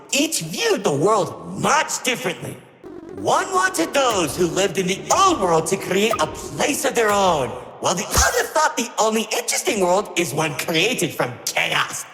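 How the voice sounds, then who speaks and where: An actor voicing a vain, flamboyant cartoon showman villain who is grandiose, melodramatic and gleefully cruel, often swinging into shouts. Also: medium pitch, wildly swooping pitch, measured pace, heavily acted. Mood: gleeful storytelling. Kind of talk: theatrical cartoon villain monologue. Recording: echoey hall reverb under clear music.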